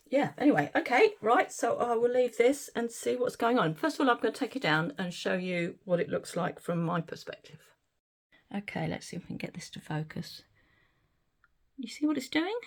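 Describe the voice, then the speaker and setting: A woman's voice: mid-range voice, vocal fry. A middle-aged woman chatting casually through a resin craft demonstration, giggly and easily delighted, while losing her voice. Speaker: resin art vlogger.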